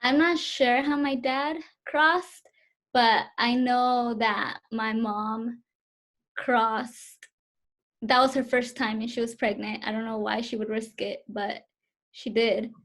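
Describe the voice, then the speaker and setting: A woman's voice: fairly high pitch, steady tone, slow, a bit bright. A woman in her twenties answering interview questions over a video call, hesitant and full of fillers. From Southern California, US. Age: 20s.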